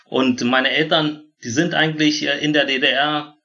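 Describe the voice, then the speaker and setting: A man in his thirties from Vietnam who grew up in Germany tells personal stories as an interview guest, often halting to search for words. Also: on the high side, steady tone, sharp tone, dull audio, slight background noise. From born in Vietnam, grew up in Germany. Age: 30s. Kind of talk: personal storytelling in a conversational interview.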